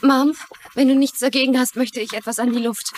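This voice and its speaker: Eine Schauspielerin spielt in einem synchronisierten TV-Drama eine junge, verschlossene und ängstliche Tochter, die angespannt und abwehrend spricht. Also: female voice, sits high, steady tone, brisk, background noise, lightly acted. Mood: guarded. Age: young adult. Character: anxious, guarded young daughter hiding a secret. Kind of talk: dubbed TV drama dialogue in German.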